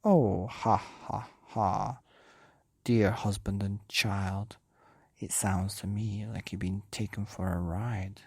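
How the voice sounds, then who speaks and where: A man voicing the Gruffalo's wife, a fierce, boastful monster mother who makes hushed, whispery threats. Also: on the low side, flat delivery, slow pace, no room sound, studio quality, exaggerated voice.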